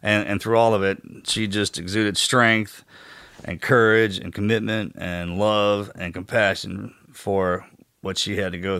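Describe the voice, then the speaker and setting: A middle-aged man, a former Navy SEAL co-hosting a podcast, introducing a guest earnestly with halting restarts. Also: fairly low pitch, a bit bright, no echo, clean studio sound.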